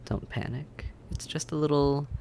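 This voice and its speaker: Man playing a suave, gravelly vampire. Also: on the high side, slight background noise. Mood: smug, menacing. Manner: courteous, measured, softly teasing, formal phrasing. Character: suave male vampire, polite, patient, quietly menacing and teasing. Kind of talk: scripted audio roleplay acting, addressed to the listener.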